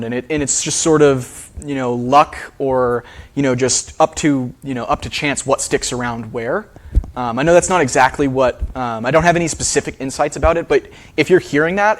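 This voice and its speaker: A man who is a linguist, answering audience questions after a talk in a theater, casual with many fillers. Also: medium pitch. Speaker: speaker, linguist. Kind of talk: lecture Q&A answers.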